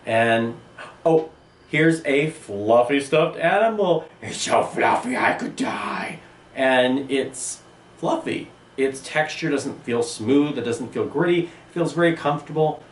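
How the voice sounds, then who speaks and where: A man's voice: fairly high pitch, slow, a little hiss or hum. A man, an art teacher, giving an enthusiastic video lesson in a room. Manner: animated, enthusiastic explaining. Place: indoor room with a table and a sandstone wall. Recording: roomy, distant mic.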